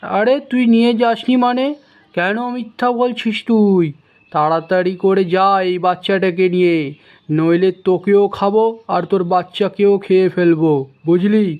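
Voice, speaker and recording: A man's voice: high-pitched, steady tone, unhurried, highs cut off, voice pushed up. A man voicing a menacing, bullying male tiger in a children's animal cartoon. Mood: threatening.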